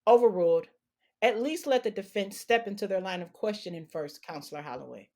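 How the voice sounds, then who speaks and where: A woman's voice: mid-range voice, animated, a little fast, darkish tone, natural voice. Woman acting a stern courtroom judge. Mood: stern, formal. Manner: formal, authoritative, admonishing. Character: stern, authoritative female trial judge. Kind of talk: audio drama acting, courtroom rulings.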